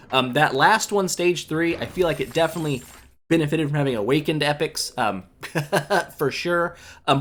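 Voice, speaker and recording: A young man giving relaxed, rambling commentary while playing a mobile game, a bit congested from a cold, with lots of filler words and self-mocking asides. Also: fairly high pitch. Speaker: gaming YouTuber. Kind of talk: casual gameplay commentary.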